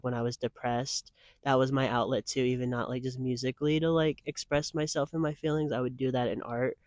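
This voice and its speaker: A man's voice: mid-range voice, dry recording, studio quality. Earnest rambling man, mid-twenties. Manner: earnest, rambling, heavy use of "like" fillers. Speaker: guest. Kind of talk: casual conversational interview.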